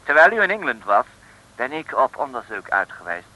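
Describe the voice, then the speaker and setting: Polite, chirpy English agent, English accent, very forward tone, animated, vintage radio drama. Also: male voice, medium pitch, a little fast, poor audio. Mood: pleased, confiding. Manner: courteous, exclamatory, mixes English into Dutch. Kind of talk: 1960s radio serial acting. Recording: old radio recording.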